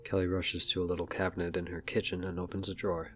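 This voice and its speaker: A man's voice: low voice, little pitch movement, fast talker, a little hiss or hum. A man narrating an audio drama as a scripted present-tense story.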